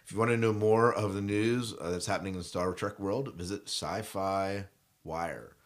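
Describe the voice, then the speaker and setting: Male podcast host, low register, casual and joking conversational news delivery. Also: steady tone, normal speed.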